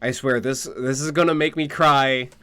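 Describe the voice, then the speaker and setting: A man's voice: mid-range voice, steady tone, normal speed, bright, forceful tone, smooth. A man giving casual live reaction commentary as a game streamer.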